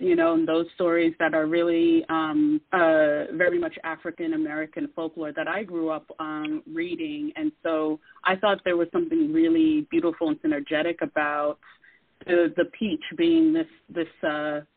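Radio guest with an even mid-range voice, earnest and measured, heard on a dry phone-like line. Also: mid-range voice, no echo. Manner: earnest, measured, with many fillers. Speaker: guest artist. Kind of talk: casual interview talk.

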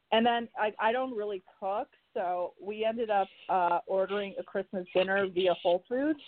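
A woman's voice: mid-range voice, no echo. A woman from Miami hosting a radio show, chatting casually with many filler words. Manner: chatty, lots of "like" fillers and laughs. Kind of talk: casual chat. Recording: tinny phone line.

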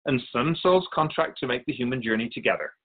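A man playing a serene, wise otherworldly keeper of souls in a radio drama, speaking in a measured, formal, instructive manner. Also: mid-range voice, expressive, phone-quality sound, lightly acted.

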